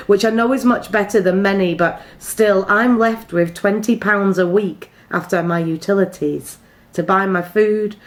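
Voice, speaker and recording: British woman performing rhyming slam poetry. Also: medium pitch. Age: middle-aged. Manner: measured rhythmic delivery with deliberate pauses. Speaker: slam poet.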